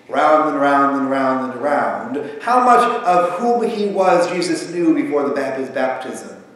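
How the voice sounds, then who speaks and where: Pastor preaching in an echoey sanctuary. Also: male voice, on the high side. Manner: conversational, animated, gesturing at the pulpit. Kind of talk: sermon. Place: large church sanctuary with pipe organ. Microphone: far camera across the sanctuary. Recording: echoey sanctuary from far off, faint hiss.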